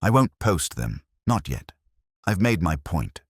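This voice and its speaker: A man plays a composed woman in an audiobook story, smooth and exacting as she confronts wrongdoers. The voice stays low, with measured words and rising and falling emphasis in a dry recording. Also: sing-song, no echo, full character voice. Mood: calm resolve.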